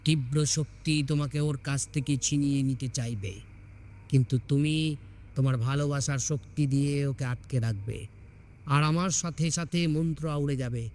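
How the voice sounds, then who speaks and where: A man's voice: medium pitch, steady tone, moderate pace, a little mellow, close to an everyday voice. A solemn, commanding exorcist holy man a ghost story, played by a male narrator. He instructs urgently yet in a fatherly way.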